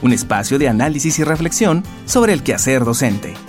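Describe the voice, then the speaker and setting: A man's voice: mid-range voice, very animated, fairly quick. A man reading a scripted introduction as a radio announcer. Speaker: station announcer. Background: plucked guitar music.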